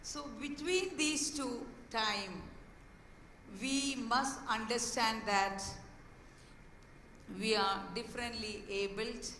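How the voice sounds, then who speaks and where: Hoarse woman, school head, earnest event speech, bright voice, echoey hall, distant mic. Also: on the high side, slow, slight background noise. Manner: earnest, with fillers and restarts. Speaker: guest speaker, school head. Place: large hall.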